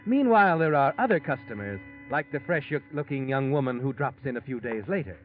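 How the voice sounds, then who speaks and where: A man's voice: mid-range voice, expressive, fairly quick, close to an everyday voice. Tinny, ominous old-radio man narrator, an actor's role. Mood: insinuating, ominous. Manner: insinuating, second-person taunting. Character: mysterious haunting storyteller addressing the schemer, knowing and ominous. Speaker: narrator of a mystery anthology. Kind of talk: 1940s radio drama narration. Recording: tinny phone-like vintage radio, music behind. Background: music.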